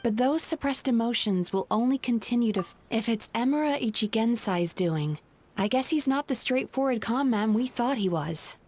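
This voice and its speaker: A woman playing a composed, perceptive young female dream healer in a video game, speaking in a measured, polite way. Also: a little high, lively intonation, a little mellow. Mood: calm, explaining.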